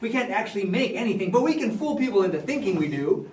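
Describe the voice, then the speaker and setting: A man playing a boastful, chatty ancient Egyptian chief magician, telling a Bible story in animated, casual modern speech. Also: sits high, fast talker. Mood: proud. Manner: animated, conversational, acting out the other characters. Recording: echoey room, far from the mic.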